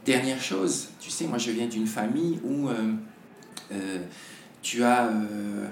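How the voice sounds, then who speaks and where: A hoarse man, a podcast guest, talking in a halting way with many restarts. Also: medium pitch, echoey room, slight background noise. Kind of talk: casual conversation.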